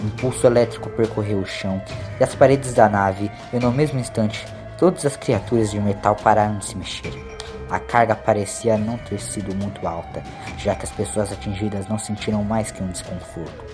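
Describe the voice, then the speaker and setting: A man's voice: on the low side, fairly even intonation, brisk, over music. A man narrating a scripted audio story in a dramatic storytelling style.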